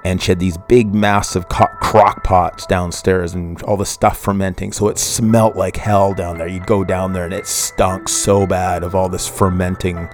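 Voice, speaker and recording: A middle-aged man speaks in a very low, deep voice. He tells a personal story in a somber, rambling way, stopping often to correct himself. Faint music plays behind him. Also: flat delivery, a little slow, hardly any pauses. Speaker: podcast host.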